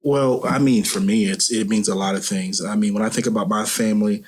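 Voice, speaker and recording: A man speaking as an interview guest, in casual, reflective talk with halting, thoughtful phrasing. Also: fairly low pitch, steady tone, husky.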